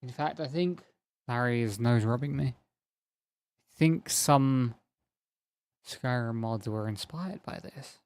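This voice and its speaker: Slow-talking, rambling male streamer. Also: medium pitch, a little mellow. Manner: rambling, chatty, talks to viewers by name. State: slightly strained, losing his voice. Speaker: game streamer. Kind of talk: casual live-stream chat while gaming.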